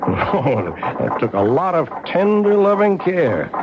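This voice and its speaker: An actor playing an excitable, dreamy old clockmaker, telling his own story in a deliberate, wondering radio drama style. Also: on the high side, very noisy. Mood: fond, homely. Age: older. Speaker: actor narrating and playing the lead in a radio mystery. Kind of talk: 1970s radio drama acting, first-person storytelling. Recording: dull vintage radio recording with loud music.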